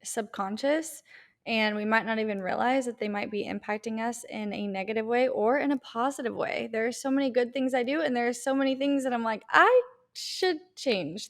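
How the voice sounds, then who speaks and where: A woman's voice: on the high side, fairly bright tone. A woman co-hosting a casual podcast, upbeat and cheerful with a dry, teasing side. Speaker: podcast co-host.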